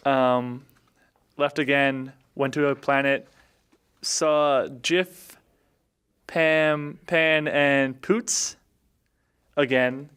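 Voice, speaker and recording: A man casually recapping a game adventure as a podcast player, often halting as he searches his memory. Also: medium pitch, steady tone, fairly bright tone, clear, resonant tone.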